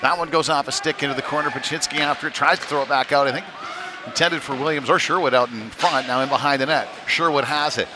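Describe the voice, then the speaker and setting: A man with a medium-pitched voice commentates live on a youth hockey game. His delivery is conversational and a little halting, with plenty of filled pauses. The clatter and ambient noise of an ice rink is clearly heard behind him. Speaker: play-by-play commentator. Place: chilly indoor ice rink.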